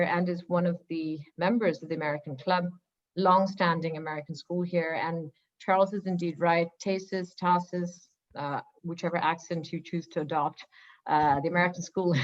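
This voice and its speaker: A woman from Singapore speaking on a webinar panel as a school founder, lively and chatty with many fillers. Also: on the low side. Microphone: video call. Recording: dull lo-fi video call audio.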